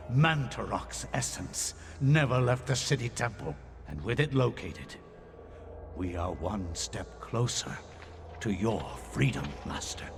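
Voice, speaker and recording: An actor playing a scheming servant of a dark god in a video game, formal and obsequious in manner. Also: male voice, lowish voice, vocal fry. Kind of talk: video game cutscene acting. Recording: noisy game capture with ambience.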